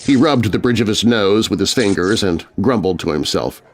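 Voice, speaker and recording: A man's voice: fairly low pitch, expressive, normal speed, slight background noise. A man narrating a scary story for children in a theatrical, suspenseful, scripted style. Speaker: narrator and host.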